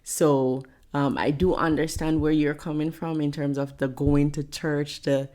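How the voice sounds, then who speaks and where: A middle-aged woman from Jamaica with a thick Jamaican accent, a pastor answering interview questions in a measured way with frequent pauses. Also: deep voice, flat delivery, darkish tone. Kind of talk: podcast interview guest.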